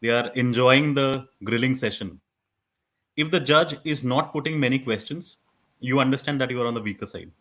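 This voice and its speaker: A man with an Indian accent and a loud voice, explaining things conversationally as a guest expert, often restarting his phrases. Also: medium pitch, moderate pace. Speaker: guest expert on moot court. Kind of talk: conversational advice in an online workshop.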